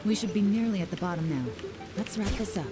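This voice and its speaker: A woman plays an adventuring guide in a video game. She sounds brisk and observant, pointing the way over clearly audible music. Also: mid-range voice, natural voice. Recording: game audio with music behind.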